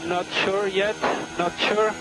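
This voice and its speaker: A man working as a race engineer, giving calm, terse, repeated instructions over team radio. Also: high-pitched, slow pace. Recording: crackly team radio with music underneath.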